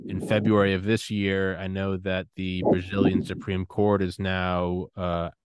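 A man's voice: deep voice, monotone, measured pace, background noise. A man hosting a podcast interview, talking in a crude, joking way. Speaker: podcast host.

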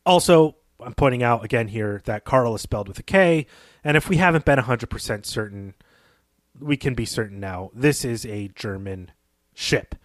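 A man co-hosting a podcast, giving analytical literary commentary in a conversational, measured and somewhat academic way. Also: medium pitch, animated, no echo. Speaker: podcast co-host.

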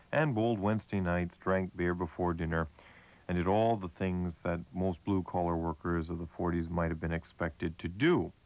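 A man's voice: low-pitched, rough recording. A man hosting a classic radio program, giving an informal, conversational and explanatory introduction that is partly read. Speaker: host of a classic radio show.

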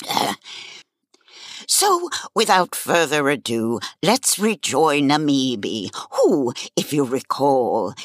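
Condescending old storyteller: unhurried, dry, snide, with big swoops in a dry close recording. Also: female voice, very deep voice, no echo, full character voice. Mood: composed narrating. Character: condescending old female storyteller, long-suffering and superior. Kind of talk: comedic audio drama narration and bickering.

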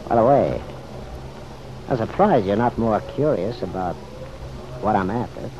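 A man playing a persistent, easygoing American police detective who needles in unhurried slang, in an old-time radio drama. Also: lowish voice, expressive. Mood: wry, probing. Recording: muffled, noisy vintage radio recording.

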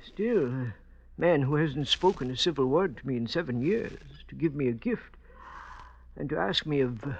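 A man's voice: a little high, expressive, normal speed, creaky, breathy edge, full character voice. A man playing a worried, suspicious janitor in an old-time radio drama, hesitant and folksy. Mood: uneasy. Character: worried, suspicious small-town college janitor husband. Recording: dull old radio recording.

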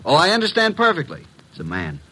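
A wisecracking assistant to a detective in vintage radio drama. The voice is husky and forceful, with very lively swings of pitch. He talks glibly, with a wry edge. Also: fast talker, heavily acted, rough recording. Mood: eager, glib. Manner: glib, wry, animated. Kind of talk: 1950s radio drama acting and narration. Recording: vintage 1950s radio recording.

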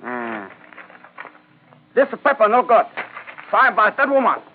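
A man playing a domineering military colonel with a put-on Chinese accent, barking rough, imperious broken English in a 1940s radio drama. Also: sits high, huge pitch swings, slow pace, slight background noise, full character voice. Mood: suspicious, demanding. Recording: tinny vintage radio broadcast.

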